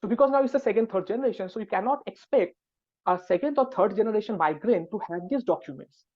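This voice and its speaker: A man's voice: high voice, animated, fast talker, no echo. A man, an academic researcher on a webinar panel, explaining a topic step by step with frequent hesitations. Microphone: video call. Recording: dull, dry video call audio.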